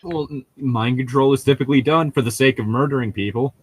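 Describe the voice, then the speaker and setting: A man's voice: medium pitch, quick pace, husky. A man riffing casually with friends in a sarcastic, exasperated and sweary way. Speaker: co-host of a comedic group read-along.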